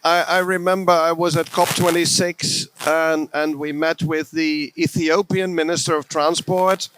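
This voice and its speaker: A man moderating a conference session, speaking in a halting way with repeated words. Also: a little high, flat delivery, a bit bright, slight background noise, low-quality recording.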